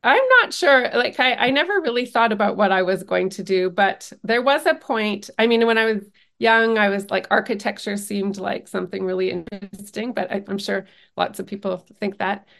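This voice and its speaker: A middle-aged woman whose first language is French, speaking as a guest researcher in an interview, thoughtful with frequent pauses and restarts. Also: medium pitch, creaky, a bit bright. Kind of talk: academic interview conversation.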